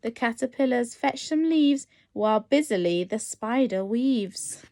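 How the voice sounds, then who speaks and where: A woman reading a picture book aloud as a nursery teacher, expressive and encouraging as she addresses young children. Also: a little high, measured pace. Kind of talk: animated children's storytime reading.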